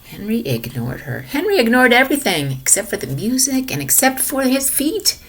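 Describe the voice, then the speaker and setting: A woman reading a children's picture book aloud in an expressive manner, sometimes dropping to hushed tones. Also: fairly high pitch, moderate pace, husky. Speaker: storybook reader for a children's lesson.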